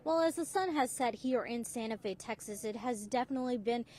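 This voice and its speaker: A woman's voice: fairly high pitch, expressive, fairly quick, darkish tone, slight background noise. A woman working as a field reporter, delivering broadcast news reports in a scripted, on-location style. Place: outdoors at a memorial for live reports.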